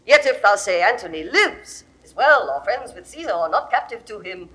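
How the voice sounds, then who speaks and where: A woman's voice: mid-range voice, big pitch swings, normal speed, bright, forceful tone, poor audio. An actress playing an imperious, lovesick Egyptian queen in a classical Shakespeare audio drama, with grand, theatrical delivery. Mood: anxious, threatening.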